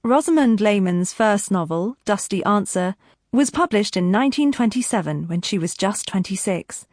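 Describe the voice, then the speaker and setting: A woman's voice: mid-range voice, animated, moderate pace, a little mellow, dry recording, studio quality. A woman with a British accent reading a scripted introduction as an announcer.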